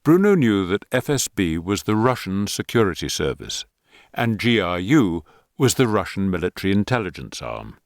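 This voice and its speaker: A man narrating a thriller audiobook in a measured, even reading on a close studio mic. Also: low voice, no room sound.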